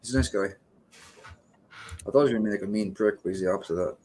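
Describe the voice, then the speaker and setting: A man's voice: on the low side, rapid-fire, darkish tone, a little hiss or hum. A man co-hosting a casual live sports stream, joking and swearing loosely as he talks about his bets. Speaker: podcast co-host. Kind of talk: casual sports watch-along chat.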